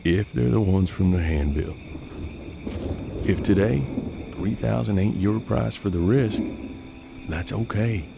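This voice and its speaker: A man's voice: on the low side, takes it slowly, gravelly, pauses often, noisy background. An American man playing a seasoned, unflappable bounty hunter in a western audio drama, with a folksy, laconic drawl. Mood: firm, businesslike. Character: seasoned, unflappable Old West bounty hunter mentoring his apprentice.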